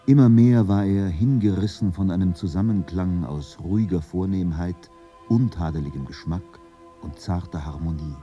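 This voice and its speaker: A man narrating a literary radio drama in German in a measured, solemn, composed way. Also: low voice, mellow, soft background music, close to an everyday voice.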